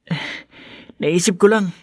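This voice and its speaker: An actor playing an earnest young brother in a Filipino audio drama, serious and reproachful. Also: male voice, sits high, measured pace, no room sound, studio quality. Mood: pensive. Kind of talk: Filipino podcast radio drama acting.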